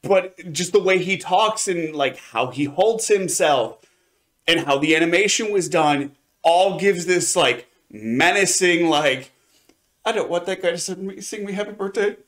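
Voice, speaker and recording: A man's voice: sits high, a little slow, fairly bright tone. A man co-hosting a review show, chatting casually and enthusiastically in a hedging, conversational way. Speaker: podcast co-host reviewing anime.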